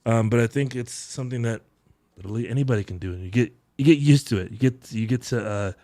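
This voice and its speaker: A man in his thirties hosting a live tech-deals stream from his home setup, chatting in a relaxed, rambling way with frequent chuckles into a close boom mic. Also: quick pace, dry recording. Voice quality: deep voice. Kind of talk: casual live-stream chat. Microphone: boom mic close to mouth, headphones on.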